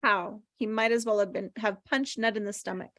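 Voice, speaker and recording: A woman's voice: medium pitch, moderate pace, a bit bright, no echo, clean studio sound. A woman reading stage directions as scripted narration at a table read.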